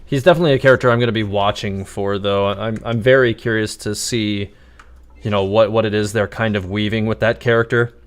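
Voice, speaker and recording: A man's voice: on the low side, fairly even intonation, a little fast, clear, resonant tone. A man casually commentating on a live game stream, musing and thinking aloud.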